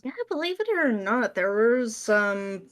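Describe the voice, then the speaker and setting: A woman's voice: on the high side, very animated, measured pace, a bit bright. A woman answering questions as a guest in a casual interview, informal and dryly self-deprecating.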